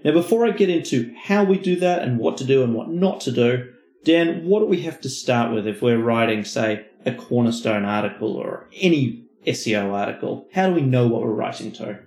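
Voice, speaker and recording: A man's voice: medium pitch, animated, normal speed, darkish tone. A man co-hosting a podcast, explaining as an expert in an emphatic, conversational manner. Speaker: podcast co-host. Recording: roomy, echoing voice recording.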